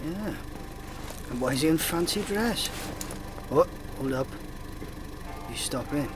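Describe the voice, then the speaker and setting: Guy acting watchful sidekick cop, short clipped lines, distant, loud engine noise. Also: a little high, lively intonation, slow. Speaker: actor. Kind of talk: British comedy radio play acting.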